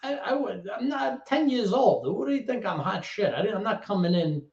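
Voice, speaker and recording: A man's voice: sits high, gravelly. A man, telling stories as a guest in a casual interview chat. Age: 30s. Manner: animated storyteller with quick asides. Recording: echoey lo-fi call audio.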